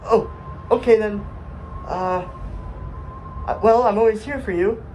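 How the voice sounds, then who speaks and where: A man's voice: sits high, a little slow, darkish tone, dull audio, a little hiss or hum, pitched above the performer's own voice. A man voicing a timid, eager-to-help cartoonish cell in an educational comedy skit, hesitant and stammering.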